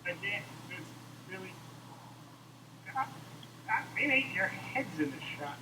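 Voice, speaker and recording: A man voicing an excitable, whiny sock puppet host in flustered, improvised comic banter. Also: high voice, slow, exaggerated voice. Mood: straining, whiny. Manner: flustered, exclaiming, swooping. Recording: roomy echo, distant mic, faint noise.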